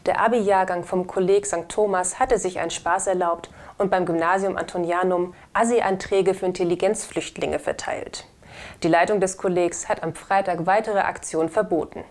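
A woman's voice: on the low side, darkish tone. A woman in her thirties reading scripted news as a studio presenter. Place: indoor studio. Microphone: lapel.

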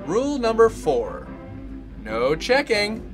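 A man's voice: high-pitched, wildly swooping pitch, slow pace, exaggerated voice. A man playing a prim, mock-polite narrator of an old-time instructional film parody, scolding in a sing-song way. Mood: prim, admonishing. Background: music.

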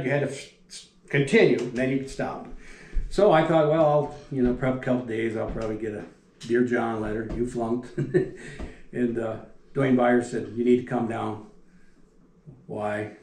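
An older man spins plain-spoken stories about his years on the factory floor, wandering through details and letting his voice sink low at the ends of thoughts. He sits at a dining room table, picked up by a camera across from him, and the room lends an echo to his mid-pitched voice. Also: fairly even intonation, normal speed. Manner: rambling, folksy, restarts and trails off quietly. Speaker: retired factory welder telling stories. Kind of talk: casual storytelling. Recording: echoey room.

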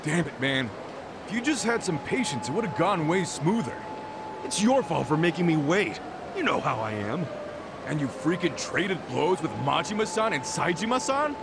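An actor playing a blunt, nagging, loyal gangland younger brother in a dramatic video game cutscene. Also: male voice, a little high, big pitch swings, moderate pace, noisy background. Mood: exasperated.

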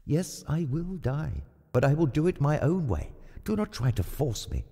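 A man's voice: a little high, very animated, normal speed, creaky, warm tone, slightly rough, big-room sound, pitched above the performer's own voice, exaggerated voice. A man voicing a doomed, resolute young lover, earnest and theatrical, in a dramatized classic. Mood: defiant. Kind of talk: dramatized illustrated-classic audio play.

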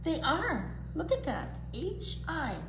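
An older woman presents a children's storytime in a chatty style. Her pitch moves around a lot and she is fairly unhurried. The room is echoey with a faint noise. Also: big pitch swings. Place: indoor library room.

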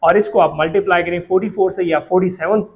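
A young man with a Pakistani Urdu accent presenting property prices to camera in a steady, explanatory sales pitch, recorded indoors in an office on a lapel mic. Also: quick pace. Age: young adult. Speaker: real estate agent.

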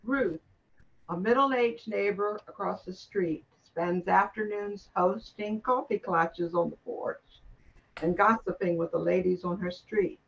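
An older woman reads from a radio-play script. She goes rather slowly at an average pitch. The sound is a little dull and lo-fi. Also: vocal fry. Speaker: amateur radio-play performer. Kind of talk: scripted reading of character introductions.

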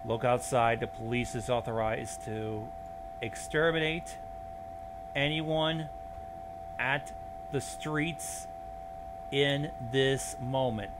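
A man's voice: mid-range voice, slow, pauses often, background noise. A man hosting a reaction show, reading on-screen text aloud and adding commentary.

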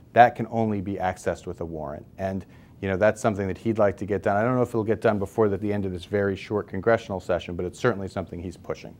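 An American man in his forties with a fairly low voice explains things as an interview guest. He is conversational and leans on key words. He speaks into a lapel mic in a newsroom studio. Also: a little mellow. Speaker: expert guest, civil liberties advocate. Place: newsroom studio, seated at table.

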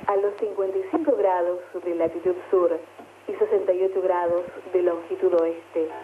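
A woman's voice: fairly low pitch, steady tone, moderate pace. A woman reading a scripted radio station identification. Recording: very muffled radio jingle recording. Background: loud music.

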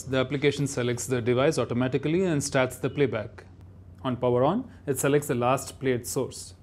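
A man's voice: mid-range voice, darkish tone. A man reading scripted narration for a product demonstration.

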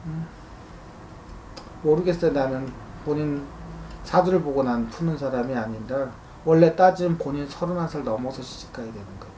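A man's voice: a little high, normal speed, warm tone. A man in his thirties speaks as a fortune-teller giving informal counsel. He is blunt and admonishing, checking in with short grunted questions. The room rings a little, with faint noise underneath. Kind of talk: spoken consultation, informal advice. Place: small indoor consultation room. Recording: roomy echo, faint background noise.

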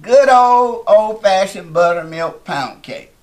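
A man's voice: high-pitched, expressive, slow, a little mellow. A man in his fifties, a guest cook chatting in a home kitchen in a folksy, effusive way. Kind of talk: casual cooking show chat. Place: home kitchen and dining room.

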